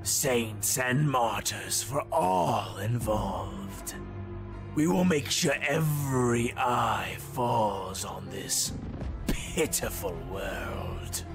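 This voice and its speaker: An actor playing a sinister, zealous inquisitor villain in a deliberate, insinuating monologue that slips into whispers. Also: male voice, mid-range voice, over music. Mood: quiet menace.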